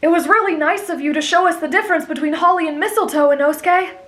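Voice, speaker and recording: Woman voicing a high-pitched, gentle anime boy. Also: fast talker, soft background music. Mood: gentle, patient. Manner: gentle, polite, patiently explanatory. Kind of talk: anime fan roleplay audio drama.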